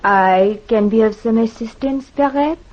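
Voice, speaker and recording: An actress with a thick French accent playing a poised, seductive femme fatale in a vintage radio drama, languid and coolly direct. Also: female voice, a little high, measured pace, darkish tone. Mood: cool, wary. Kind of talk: 1940s radio drama acting. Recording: muffled vintage radio recording.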